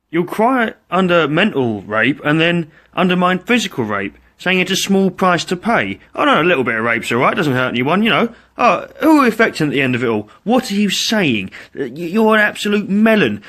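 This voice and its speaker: A British man giving a mocking opinion rant as an online commentator, with a sarcastic, exasperated, informal manner. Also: a little high.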